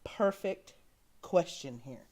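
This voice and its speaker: A woman's voice: fairly low pitch, expressive, takes it slowly, a little mellow. A woman hosting a reaction show, giving casual, opinionated and critical commentary on a film clip, stressing key words.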